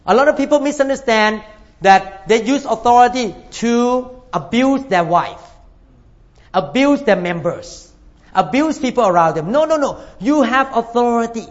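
A man, from Thailand, preaching a sermon as a pastor, emphatic and repeating his points while calling for amens from the congregation. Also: very high-pitched. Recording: dull lo-fi recording.

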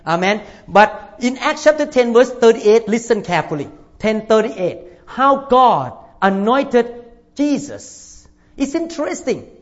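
A male pastor preaches with a fairly high voice, pressing his points by repeating them and asking for amens. The recording is dull and lo-fi, and it sounds like a church hall. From Thailand. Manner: emphatic, repeats phrases, calls for amens. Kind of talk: sermon.

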